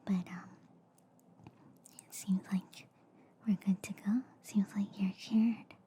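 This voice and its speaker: A woman whispering softly and soothingly in an ASMR roleplay as a gentle, reassuring alien doctor, close to the microphone. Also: mid-range voice, steady tone, takes it slowly. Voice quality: soft, breathy whisper.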